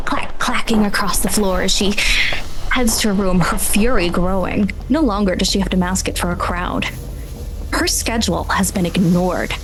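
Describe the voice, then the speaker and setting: A woman's voice: mid-range voice, a little hiss or hum, low-quality recording. A woman narrating an improvised tabletop game as game master, setting scenes in vivid, descriptive detail.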